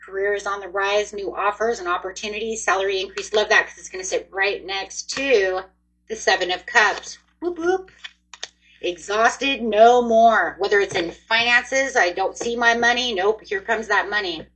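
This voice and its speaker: A woman's voice: medium pitch, normal speed, a bit bright, a little hiss or hum, low-quality recording. A woman in her forties giving a chatty, upbeat tarot card reading, speaking straight to her viewers. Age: 40s. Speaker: tarot reader.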